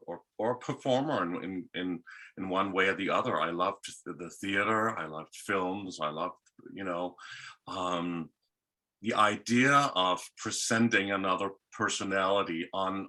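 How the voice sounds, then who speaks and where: Deep-voiced Danish man, bright tone. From Denmark. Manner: rambling, with many restarts. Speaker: guest. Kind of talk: casual interview talk.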